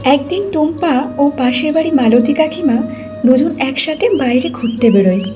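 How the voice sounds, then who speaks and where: A woman's voice: sits high, animated, moderate pace, over music. A woman narrating a scripted cartoon folk tale as the storyteller.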